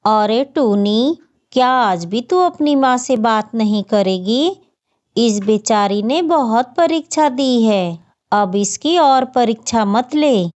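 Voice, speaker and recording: A woman's voice: a little high, expressive, takes it slowly, darkish tone. A woman voicing a sympathetic but admonishing aunt bird in a cartoon. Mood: chiding. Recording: dull, muffled lo-fi audio.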